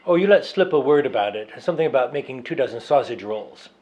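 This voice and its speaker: A man playing a fussy, forward middle-class gentleman in a dramatized novel reading, chatty and genteel. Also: medium pitch, lively intonation, quick pace, muffled recording, natural voice. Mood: breezy, forward.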